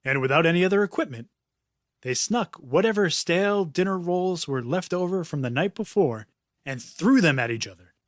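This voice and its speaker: Animated man narrating a children's story. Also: fairly high pitch, normal speed, dry recording, studio quality. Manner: dramatic, playful storytelling. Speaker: author reading his own audiobook.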